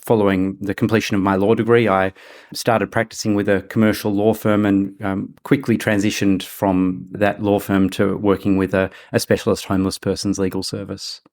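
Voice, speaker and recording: A man from Australia, a human rights advocate, giving formal, deliberate interview answers. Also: lowish voice, little pitch movement, darkish tone.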